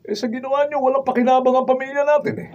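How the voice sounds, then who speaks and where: A man's voice: very high voice, little pitch movement, very fast, darkish tone. A man playing a self-serving, argumentative businessman father in a Filipino radio drama. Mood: scoffing, insistent. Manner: argumentative, scoffing. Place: drama recording room, behind-the-scenes camera. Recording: muffled, noisy, distant room recording.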